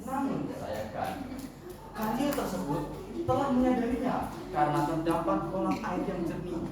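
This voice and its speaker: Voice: mid-range voice, sing-song, moderate pace. A contest storyteller narrating a tale in a theatrical, declamatory way. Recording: echoey hall, distant mic, noisy.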